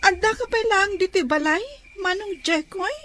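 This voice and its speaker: A woman playing a lively young granddaughter in a radio drama, who talks quickly and excitably. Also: very high-pitched, faint music behind. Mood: chatty.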